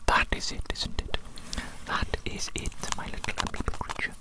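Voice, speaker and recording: An ASMR performer playing an evil mad-scientist doctor who muses slowly and dotingly in a breathy whisper, repeating phrases softly. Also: lightly acted. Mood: pleased, scheming. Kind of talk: whispered ASMR roleplay monologue.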